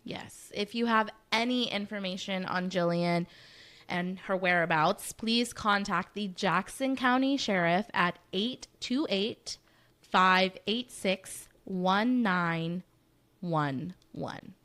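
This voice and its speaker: A woman's voice: medium pitch, slow pace, a bit bright. A woman co-hosting a live broadcast segment on missing persons, agreeable and reading reports steadily. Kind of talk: casual live show chat.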